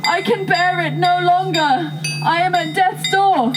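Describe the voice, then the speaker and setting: Woman putting on a high, plaintive, languishing female voice. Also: music in the background. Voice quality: weak, languishing. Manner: plaintive, drawn-out complaining. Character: plaintive, languishing, harassed female talking spirit.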